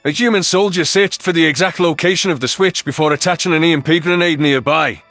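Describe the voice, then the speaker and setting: Male audiobook narrator for sci-fi fiction, fairly high voice, music underneath. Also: brisk, a bit bright, no echo. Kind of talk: scripted sci-fi story narration in first person.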